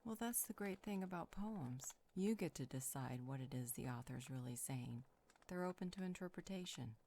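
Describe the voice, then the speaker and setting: A woman playing a curious, gently teasing vampire healer in a conversational audio roleplay, musing and coaxing. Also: rumbling low, animated, moderate pace, a little hiss or hum.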